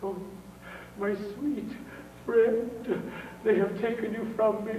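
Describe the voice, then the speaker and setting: A man's voice: high voice, level tone, takes it slowly, muffled recording. An actor on stage playing a miserly old father who wails and rants theatrically over his stolen money. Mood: despairing. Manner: frantic, wailing, theatrical. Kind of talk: stage acting. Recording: far roomy stage mic, audience noise.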